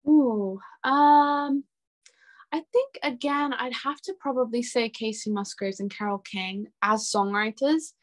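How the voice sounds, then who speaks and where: A woman's voice: a little high, measured pace. A young woman chatting casually and informally as an interview guest. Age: young adult. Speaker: interview guest, singer-songwriter. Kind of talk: casual chat.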